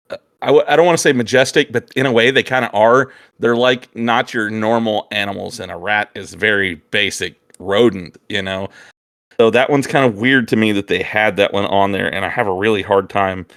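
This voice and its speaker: A man's voice: fairly low pitch, fairly bright tone, no echo, clean studio sound. A man in a casual chat, talking hesitantly as he thinks aloud. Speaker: guest co-host.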